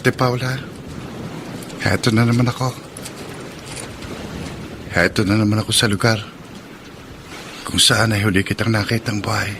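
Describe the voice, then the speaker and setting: A man's voice: lowish voice, fairly even intonation, normal speed, long pauses, close to an everyday voice. Actor as an earnest young Filipino man, noisy ambience. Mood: mournful, tender. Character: grieving shy young man, tender and earnest. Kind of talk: Filipino radio drama acting. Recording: clear background ambience noise.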